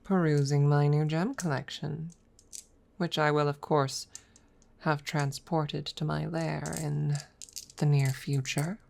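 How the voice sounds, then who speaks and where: A woman playing a proud, teasing dragon rival in a soft, measured ASMR audio roleplay, at times dropping toward a whisper. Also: low-pitched, steady tone, vocal fry, exaggerated voice. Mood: haughty, intrigued. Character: proud, teasing dragon rival hiding affection, hoarder of treasure.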